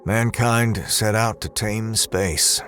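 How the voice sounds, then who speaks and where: Space ranger: low register, weary cynic, terse and guarded, audio drama with strong score underneath. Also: male voice, takes it slowly, fairly bright tone, faint music behind. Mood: brooding monologue. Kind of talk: sci-fi audio drama acting.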